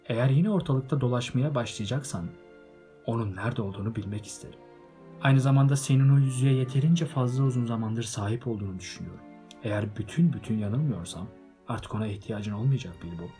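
A man voicing an old male wizard who speaks in a firm, measured, admonishing way. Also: fairly low pitch, warm tone, faint music behind, close to an everyday voice.

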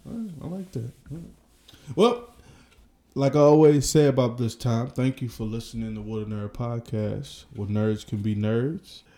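Male podcast co-host, casual and jokey, mid pitch, roomy echo. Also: unhurried, mellow. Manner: joking, laid-back, lots of "uh". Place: indoor room, across from co-host. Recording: echoey room.

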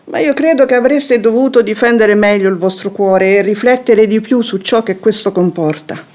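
An actress playing a poised, discreet noble wife in a radio drama, speaking in a measured, formal, coolly tactful way. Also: female voice, medium pitch, a little mellow, close to an everyday voice. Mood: composed, ironic. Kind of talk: theatrical radio drama acting.

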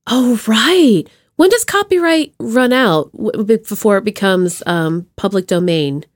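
A woman hosting a podcast in casual chat, with a gushing, expressive manner. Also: medium pitch, measured pace, dry recording, clean studio sound. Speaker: podcast host.

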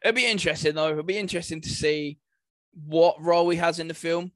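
A man with a slightly high-pitched voice talks in a casual, conversational way as a podcast co-host. The sound is very dry, with no room around him. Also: brisk, fairly bright tone, no room sound. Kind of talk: casual chat.

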